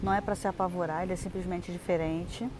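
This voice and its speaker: A woman's voice: lowish voice, steady tone, fast, a crowd in the background. A young adult Brazilian woman giving chatty, explanatory advice in a casual vlog, with lots of fillers and restarts. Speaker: vlogger. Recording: noisy outdoor recording.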